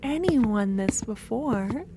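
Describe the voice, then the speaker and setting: A woman acts out a loving, possessive female cultist in an ASMR roleplay. Her voice is high and soft, drifting into breathy whispers as she coaxes very slowly. Faint noise sits behind her. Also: expressive. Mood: eager, coaxing.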